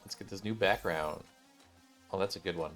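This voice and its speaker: A man with a fairly low voice streams a game in a casual, slangy way. He thinks out loud as he goes, with game music playing faintly behind him. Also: normal speed. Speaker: gaming streamer. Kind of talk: casual gameplay commentary, reading dialogue aloud.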